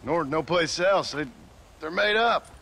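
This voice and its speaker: An actor playing a gruff, skeptical male cowboy in a video game, speaking in a dry, blunt, sarcastic way. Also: on the high side, measured pace, bright, forceful tone, a little hiss or hum, heavily acted. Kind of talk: video game cutscene acting.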